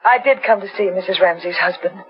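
A woman's voice: lowish voice, brisk, husky. An actress playing a remorseful, defensive woman under suspicion, earnest and dramatic, in 1940s radio melodrama. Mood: defensive confession. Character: remorseful, defensive young woman suspected of murder. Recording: muffled, dull 1940s radio recording.

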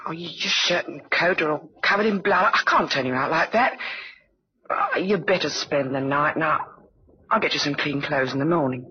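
A woman acting in an old radio drama as a soft-hearted young London woman, flustered, tender and a little bossy. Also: low voice, normal speed, natural voice. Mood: tender, hesitant. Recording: muffled old radio recording, faint noise.

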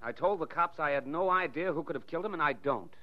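A man's voice: fairly high pitch, expressive, quick pace, lightly acted. An actor playing a curt, guarded businessman under suspicion in a 1940s radio drama. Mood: defensive. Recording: dull, lo-fi 1940s radio recording.

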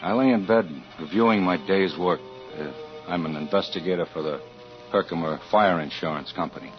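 An actor as a low-voiced, troubled 1940s investigator. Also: male voice, close to an everyday voice. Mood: uneasy, confessional. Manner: halting, hesitant confessional narration. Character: troubled married insurance investigator recounting his story, anxious. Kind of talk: 1940s radio suspense acting. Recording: muffled vintage radio recording with music.

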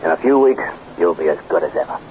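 An actor playing a good-natured, modest space adventurer in a 1950s children's radio serial. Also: male voice, sits low, expressive, fast talker. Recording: dull, noisy old radio recording.

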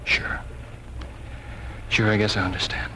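An actor playing a gentle, lovestruck young small-town man in 1940s radio style. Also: lowish voice, level tone, a little slow, close to an everyday voice. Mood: tender. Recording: noisy 1940s radio broadcast.

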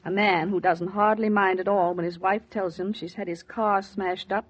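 A woman's voice: mid-range voice, steady tone, moderate pace, lightly acted. Actress for wry, disapproving mother, middle-aged. Dry, precise 1940s radio delivery, lo-fi sound. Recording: dull, lo-fi vintage 1940s radio.

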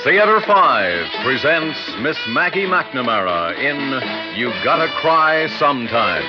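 An actor playing a formal announcer, proclaiming in the manner of 1960s radio drama. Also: male voice, medium pitch, big pitch swings, slow pace. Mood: formal, proud. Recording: dull old radio recording, loud music.

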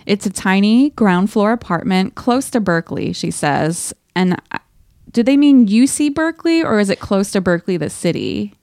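A woman co-hosting a podcast, chatting casually and reading aloud from a diary in an expressive, playful way. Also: mid-range voice, normal speed, no echo. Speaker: podcast co-host.

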